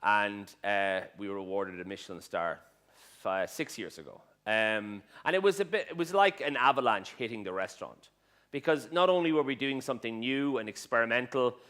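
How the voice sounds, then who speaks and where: A man's voice: lowish voice, fairly bright tone. Irish man giving a hesitant talk. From Ireland. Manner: hesitant, many fillers and restarts, dry humour. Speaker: restaurateur and chef speaking at a conference. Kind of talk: conference talk with slides. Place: conference hall stage.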